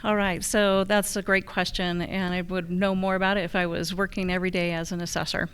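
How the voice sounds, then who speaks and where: A woman's voice: on the low side, flat delivery, brisk, fairly bright tone, slight background noise. A woman with an American accent giving plain-spoken, conversational answers as a candidate at a forum. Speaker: candidate for local assessor.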